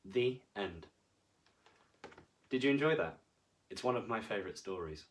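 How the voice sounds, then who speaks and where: A man reading to children as a storyteller, with expressive, deliberate and dramatic phrasing. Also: on the low side. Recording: voice far from the mic.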